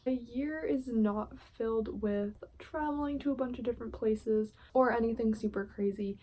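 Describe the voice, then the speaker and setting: A woman's voice: a little high, moderate pace, mellow, slight background noise, low-quality recording. A woman in her twenties chatting casually in a vlog, with frequent filler words. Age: 20s. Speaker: vlogger.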